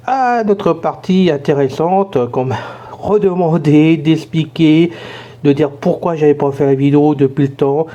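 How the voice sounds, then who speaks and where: A hoarse man talks through a device tutorial in a loose, rambling way, full of fillers. His voice is very dark and mellow. The recording sounds dull and lo-fi. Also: mid-range voice. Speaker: tech tutorial presenter. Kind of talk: casual spoken tutorial.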